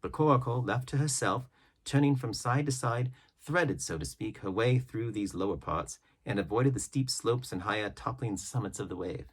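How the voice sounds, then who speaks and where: A man reading an adventure novel aloud as a storybook narrator, with measured, dramatic phrasing. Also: mid-range voice, slightly rough. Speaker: audiobook reader.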